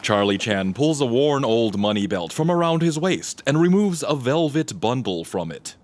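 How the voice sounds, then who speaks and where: A man's voice: mid-range voice, big pitch swings, moderate pace. A man reading scripted scene descriptions as the narrator of an audio drama.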